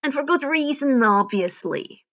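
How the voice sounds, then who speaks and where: A woman's voice: high voice, lively intonation, measured pace, fairly bright tone. A woman reading scripted story narration as an amateur audio fiction narrator, on a slightly dull recording. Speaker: podfic narrator.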